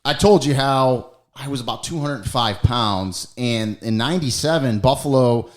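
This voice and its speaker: A man's voice: mid-range voice, normal speed. A middle-aged American man hosting a podcast, talking casually and telling stories with lots of fillers and self-corrections. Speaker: podcast host. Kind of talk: casual podcast chat and storytelling.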